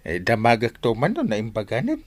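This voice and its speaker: Grumbly village captain in a radio comedy, super swoopy voice, lots of exclaiming. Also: male voice, mid-range voice, huge pitch swings, normal speed, no echo. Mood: grumbling. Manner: exclamatory, swinging between muttering and yelping. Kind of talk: Ilocano radio comedy drama acting.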